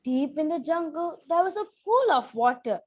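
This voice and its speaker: Young woman narrator for a children's tale: high, animated, slightly dull audio. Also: normal speed. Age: teenager or young adult student. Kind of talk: scripted storytelling, readers theater.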